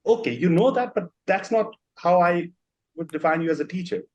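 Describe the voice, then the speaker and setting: A man's voice: sits high, brisk, darkish tone, dry recording. A man in a class asking the teacher a question, hesitant and full of fillers. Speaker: student. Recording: dry, dull lo-fi line.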